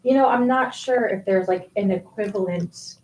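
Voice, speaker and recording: Mellow woman guest in a roomy studio. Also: medium pitch, moderate pace. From Florida, USA. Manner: thoughtful, with many ums. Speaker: guest, nonprofit president. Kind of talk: radio interview answers. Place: radio studio.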